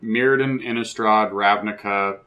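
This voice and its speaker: A man's voice: fairly low pitch, fairly even intonation, normal speed, lo-fi sound. A man in casual podcast chat, making wry, flippant remarks. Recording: echoey, roomy sound.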